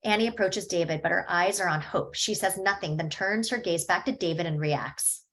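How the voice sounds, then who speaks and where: Woman reading stage directions, lowish voice, steady and matter-of-fact. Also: normal speed.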